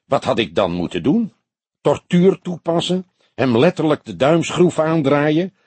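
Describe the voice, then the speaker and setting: An unruffled older police detective from a crime audiobook, acted out by a male reader. His delivery is laconic and deliberate, with dry sarcasm and lively swings in pitch. The voice is slightly husky and recorded dry. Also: no echo, full character voice. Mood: sarcastic. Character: grey-haired veteran police detective, unruffled, dryly sarcastic. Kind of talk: Dutch audiobook character dialogue.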